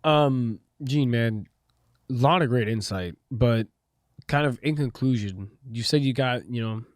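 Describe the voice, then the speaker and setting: A man's voice: medium pitch, measured pace, dry recording, studio quality. A man co-hosting a podcast, putting questions to a guest in a casual, conversational way. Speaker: podcast co-host. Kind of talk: casual interviewing.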